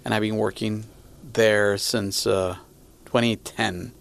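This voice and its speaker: Gravelly low-voiced man from Venezuela. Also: fairly even intonation, slow. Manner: hesitant, restarts often, friendly. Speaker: podcast guest. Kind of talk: conversational interview answers.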